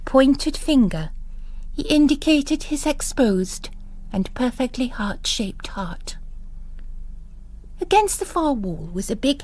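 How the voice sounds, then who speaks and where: Expressive woman storyteller, close dry sound. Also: mid-range voice, dry recording. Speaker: narrator reading a novel. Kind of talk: dramatised audiobook storytelling.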